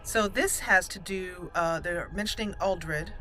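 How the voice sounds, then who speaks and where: A woman hosting a roleplay game playthrough, commenting and reading aloud deliberately as she thinks. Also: lowish voice, pushed and bright. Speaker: let's play host. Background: game music.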